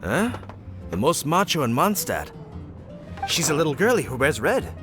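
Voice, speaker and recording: Dramatic bard dude in a game, animated delivery, effusive storyteller, music playing behind. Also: male voice, a little high, normal speed. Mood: earnest, dramatic. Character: earnest, dramatic male wandering musician, proud of his songs. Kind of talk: video game voice acting.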